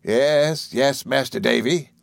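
A man's voice: medium pitch, takes it slowly, creaky, dry recording, voice pushed up. A man voicing a kindly, flustered, motherly housekeeper in an audiobook, agitated, affectionate and faltering. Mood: flustered, hesitant. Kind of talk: audiobook character dialogue.

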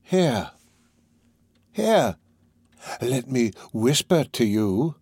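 A man's voice: medium pitch, sing-song, a little mellow, breathy edge, dry recording, heavily acted. A deranged old condemned man. He is terrified and feverish, drawing words out and breaking into cries. The sound is dry and intimate. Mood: wheedling, secretive. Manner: muttering, feverish, broken speech with cries and drawn-out words. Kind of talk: dramatic audiobook character reading.

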